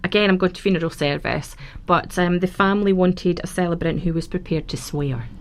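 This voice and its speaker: A woman guest on a radio show, chatting warmly about her work as a celebrant, with frequent fillers and restarts. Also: on the low side, fairly quick. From Scotland. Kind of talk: casual radio interview. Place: radio studio. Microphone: studio microphone.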